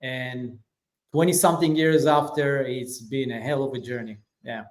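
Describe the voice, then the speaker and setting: A man in his forties from Israel with an Israeli accent, telling his life story as an interview guest, relaxed with many fillers. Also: mid-range voice, moderate pace. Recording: echoey room.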